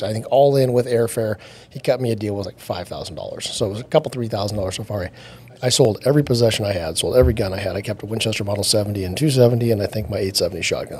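A man's voice: on the low side, a little mellow. A man in his late forties telling stories casually as a podcast guest, acting out bits of dialogue. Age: late 40s. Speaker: podcast guest, outdoor company executive.

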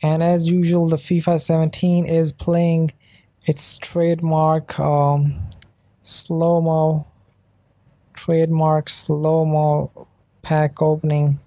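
Sleepy, slow-talking young man commentating. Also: a little high, completely flat delivery, warm tone, no echo. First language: not English. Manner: attempts a put-on British accent. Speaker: mobile game channel host. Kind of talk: casual pack-opening commentary.

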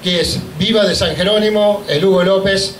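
A man's voice: sits high, steady tone. A middle-aged Argentine man, a union leader, giving a grateful, emotional speech at an inauguration into a handheld mic in a large hall. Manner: emotional, grateful, halting phrasing. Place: large event hall, indoors. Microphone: handheld microphone on a stand. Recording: echoey hall with faint noise.

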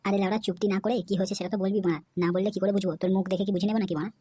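A woman's voice: medium pitch, fairly even intonation, rapid-fire, natural voice. A woman voicing a hot-tempered, foul-mouthed male village club leader who barks abuse and orders. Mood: angry. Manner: shouting abuse, bossy. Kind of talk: Bengali comedy cartoon dubbing. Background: background music.

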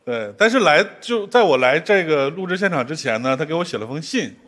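A man's voice: fairly high pitch, expressive, a little fast. A man in his thirties performing as the exasperated straight man of a stand-up comedy duo on a large studio stage. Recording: noisy studio stage with loud crowd.

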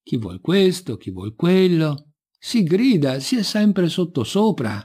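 A storybook prior played by a male reader, bossy yet helpful, gives cautions with lively swings of pitch. The tone is mellow and husky. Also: slow pace, exaggerated voice. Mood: troubled. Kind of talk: storybook reading.

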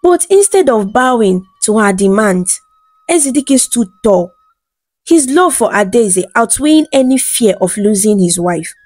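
A woman with a fairly high voice tells a folktale from a script, measured and dramatic in her delivery. The recording is dry and close, with soft music behind her. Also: animated, no echo. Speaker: storyteller.

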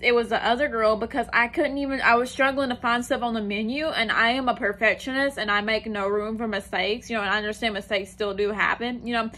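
Rambling young woman, bright voice. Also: on the high side, steady tone, fast talker, few pauses. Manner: rambling, lots of "like" and "you know". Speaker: vlogger. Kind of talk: casual storytime. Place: small room indoors, sitting on the floor.

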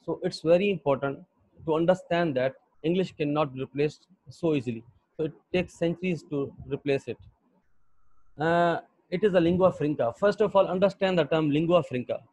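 A young Pakistani man with a Pakistani accent, lecturing an online English class in a halting way with many fillers. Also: on the high side, darkish tone, dry recording. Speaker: English teacher. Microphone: computer mic on a video call. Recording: dull lo-fi video call audio.

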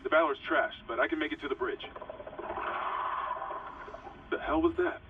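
An actor playing a commanding, gruff male officer on a stricken spaceship in a dramatic game. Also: on the high side, normal speed, narrow, tinny audio, heavy background noise, lightly acted. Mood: resolute. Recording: distant radio call under music.

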